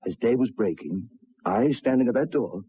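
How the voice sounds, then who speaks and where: An actor in an old radio drama playing a troubled railway signalman, speaking in a grave, deliberate, halting way. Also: medium pitch, expressive, slightly rough, no room sound. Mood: haunted, grave. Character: haunted, troubled railway signalman telling of ghosts. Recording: dull lo-fi old radio recording.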